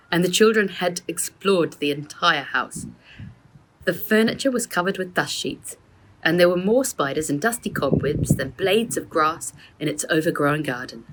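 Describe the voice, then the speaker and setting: A woman's voice: sits low, background noise. A woman narrating a children's storybook as the author reading her own work.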